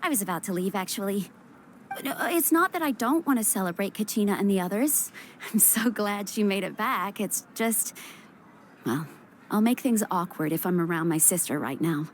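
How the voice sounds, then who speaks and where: Young female game healer acted by a woman: guarded, caring, earnest delivery, medium pitch. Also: animated, normal speed, slight background noise, lightly acted. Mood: hesitant. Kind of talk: anime game voice acting. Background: game music.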